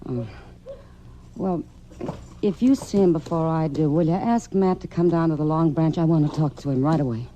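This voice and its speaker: A woman's voice: low voice, normal speed, mellow, dull audio, natural voice. An actress playing a worried, guarded saloon woman in an old-time radio western, firm and stubbornly protective. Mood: weary, guarded. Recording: noisy old radio drama recording.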